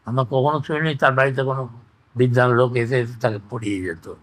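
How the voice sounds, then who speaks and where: A man's voice: medium pitch, steady tone, fast, fairly bright tone. An older man, reminiscing and giving opinions in an emphatic, conversational interview style with rhetorical questions. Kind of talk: conversational oral-history reminiscence.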